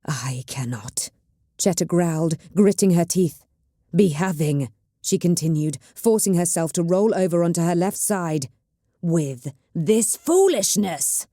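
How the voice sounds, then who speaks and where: A woman's voice: on the low side, animated, measured pace, mellow, dry recording, clean studio sound. A woman voicing a battered, stubborn woman navigator in chronic pain, speaking through gritted teeth with acid, commanding force. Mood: strained.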